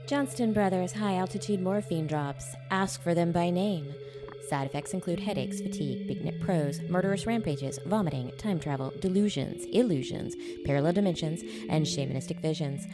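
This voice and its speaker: A woman's voice: lowish voice, moderate pace, over music, lightly acted. A woman playing a matter-of-fact 1940s radio announcer reading a parody commercial. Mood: brisk, straight-faced. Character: 1940s radio pitch announcer reading absurd drug warnings.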